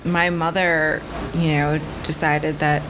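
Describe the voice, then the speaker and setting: Low-voiced New woman, reflective. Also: level tone, takes it slowly, background noise. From United States. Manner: reflective, with frequent fillers and restarts. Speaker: podcast guest. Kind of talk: casual interview.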